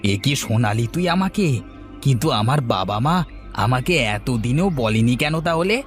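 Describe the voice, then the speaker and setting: Cartoon younger son male actor: resentful, hot-headed, complaining, medium pitch, music underneath. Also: expressive, measured pace, lightly acted. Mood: aggrieved. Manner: sulky, complaining. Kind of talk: Bengali fairy-tale cartoon dialogue.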